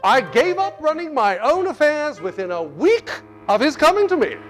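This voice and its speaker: High, swooping, flustered idle gentleman. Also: sing-song, normal speed, faint music behind. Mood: flustered, admiring. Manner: stammering, exclamatory, repeats words. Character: flustered idle English gentleman, comic and dependent. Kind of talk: comic stage monologue.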